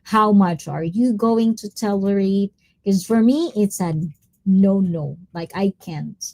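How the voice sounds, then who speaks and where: A woman's voice: medium pitch, slow pace, dark tone, low-quality recording. A woman hosting a live stream, chatting casually, reading viewer comments and mixing in Tagalog words. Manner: chatty, mixes in Tagalog words, drifts between topics.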